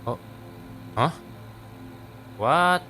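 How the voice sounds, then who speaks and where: A man's voice: on the high side, animated, drawn-out words, background noise. A man doing casual let's play commentary, stumbling as he reads text aloud and swearing freely. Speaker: gaming video host.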